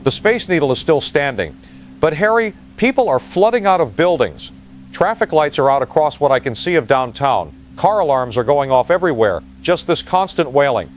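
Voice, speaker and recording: A man's voice: on the high side, very animated, normal speed, a little hiss or hum. Shaken field correspondent played by a man. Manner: hesitant, vivid eyewitness reporting. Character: shaken male field correspondent reporting from disaster. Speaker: field reporter. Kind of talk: live disaster dispatch in an audio drama.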